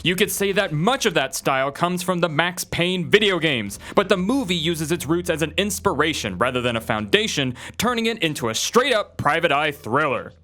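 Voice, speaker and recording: Male video-essay narrator, scripted entertainment read, high and bright, light music bed. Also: lively intonation, moderate pace, few pauses. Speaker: video narrator, film list presenter.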